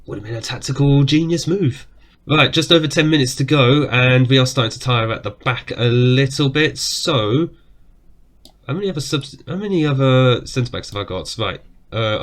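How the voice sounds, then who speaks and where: A man with a cough talks his way through a football management game from his desk. His voice sits at a middle pitch, and his commentary is casual and chatty, with quick reactions to saves and shots. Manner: chatty, reacts aloud to match events. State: has a cough. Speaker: gaming YouTuber.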